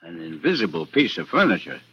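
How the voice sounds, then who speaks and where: A man's voice: low voice, big pitch swings, brisk, bright, forceful tone, husky, heavily acted. An actor playing a plain-spoken, cautious small-town police chief in a radio mystery, with a folksy, fatherly, hesitant manner. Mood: puzzled. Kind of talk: radio mystery drama acting. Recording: dull, noisy old radio drama recording.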